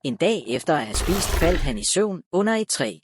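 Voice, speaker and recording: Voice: medium pitch, sing-song, background noise. A storyteller reading a scripted children's tale as narrator. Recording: loud music behind the voice. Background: music.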